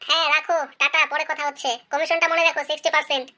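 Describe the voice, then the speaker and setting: Actress as cartoon village woman, very high-pitched, bright, chatty, wheedling. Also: level tone, very fast. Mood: scheming, bossy. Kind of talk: cartoon comedy dialogue.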